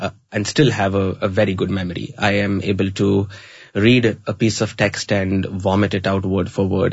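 Man, lowish husky voice, thoughtful guest, dull dry recording. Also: dry recording. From South India (Chennai). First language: Tamil. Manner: thoughtful, many fillers and restarts. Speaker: podcast guest, learning scientist. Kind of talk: reflective conversational storytelling.

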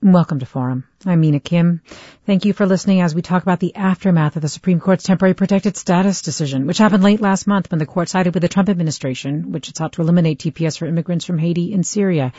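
Radio host, woman, measured delivery, husky voice, dull lo-fi sound. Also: lowish voice, dark tone, no echo. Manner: measured, clear hosting delivery. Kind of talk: public radio call-in talk show.

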